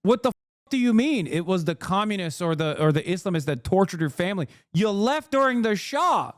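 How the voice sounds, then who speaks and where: A man streaming casual reaction commentary, profane, animated and rambling. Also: high-pitched, brisk, dry recording, studio quality.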